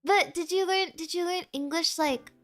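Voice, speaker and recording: Bubbly high-voiced Valley girl woman. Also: moderate pace. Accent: American Valley girl. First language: Spanish. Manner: chatty, filler-heavy "like", giggly, bubbly. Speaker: streamer reading chat. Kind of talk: casual stream chat.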